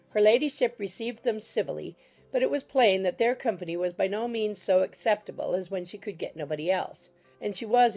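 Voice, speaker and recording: A woman's voice: medium pitch, darkish tone. A woman reading scripted literary narration as the narrator of an audiobook.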